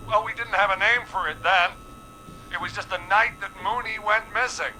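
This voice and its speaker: An actor playing a trapped, defensive man in a horror game, halting and evasive as he justifies himself. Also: sits high, steady tone, pushed and bright, slight background noise, low-quality recording. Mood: reluctant, halting. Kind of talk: scripted game voice acting.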